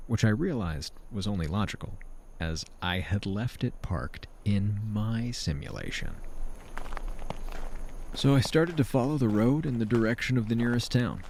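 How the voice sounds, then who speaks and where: An actor playing an uneasy, wry traveler, narrating a science fiction audio drama in a deliberate, self-mocking storytelling manner. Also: male voice, sits low, darkish tone, slight background noise. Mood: measured, wondering. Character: uneasy, wry male traveler narrating a simulation-hopping adventure. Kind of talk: scripted first-person audio drama narration.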